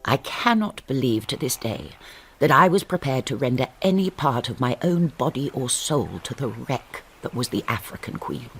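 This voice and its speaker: A man's voice: medium pitch, animated, moderate pace, natural voice. A man narrating as a wry, arch storyteller. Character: wry, dry-witted narrator looking back. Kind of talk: scripted narration. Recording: narration over a music bed.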